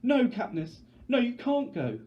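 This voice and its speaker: A man's voice: extremely high pitch, big pitch swings, unhurried, lo-fi sound, voice pushed up, full character voice. A man voicing a frantic twelve-year-old girl crying out and pleading in a story reading.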